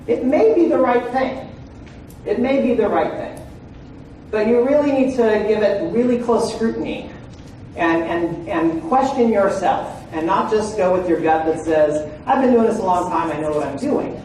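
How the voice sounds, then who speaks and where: A man's voice: sits high, moderate pace, a little mellow, distant mic. A man in his forties gives a conversational lecture to a seminar audience. He keeps checking in with his listeners. His voice comes from well away from the mic in a very echoey room with a faint hiss of background noise. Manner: frequent "right?" and "okay?" check-ins with listeners. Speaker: presenter at a legal training seminar.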